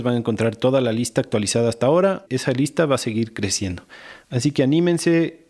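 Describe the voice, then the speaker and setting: A man's voice: mid-range voice, a little mellow. A man co-hosting a podcast, reading announcements for supporters in an upbeat, persuasive way. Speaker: podcast co-host.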